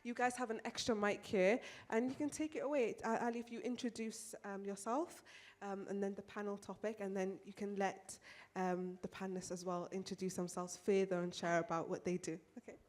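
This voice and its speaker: A woman's voice: mid-range voice, fairly even intonation, brisk, darkish tone. A woman hosting an event from the stage in an informal, somewhat flustered and apologetic way. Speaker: event host introducing panels.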